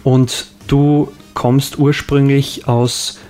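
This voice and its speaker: A man hosting a radio interview, asking slow, halting questions with small fillers. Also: mid-range voice, flat delivery, mellow, faint music behind. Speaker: radio host.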